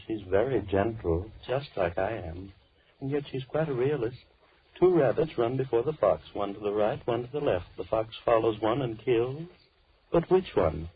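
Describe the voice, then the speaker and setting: An actor playing a deranged, lonely killer who speaks with mock politeness and menace in old radio horror style. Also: male voice, mid-range voice, slightly rough. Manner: theatrical, mock-polite, slow musing monologue. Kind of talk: 1940s radio horror acting. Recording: muffled, tinny old radio recording.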